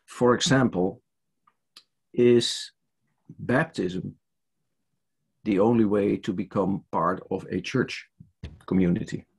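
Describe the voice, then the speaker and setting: Older Dutch-accented man, hesitant church-leader guest on a headset video call, husky and unhurried. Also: on the low side, fairly even intonation. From Netherlands. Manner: hesitant, many fillers and restarts. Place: home study with bookshelves.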